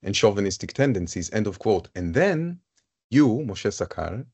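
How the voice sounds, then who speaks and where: A man with a fairly low voice moderates a live panel conversation. He speaks in a thoughtful, searching way and often goes back over words as he builds a question. His delivery moves forward at an unhurried pace. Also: big pitch swings, a little mellow, husky. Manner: thoughtful, searching, repeats phrases.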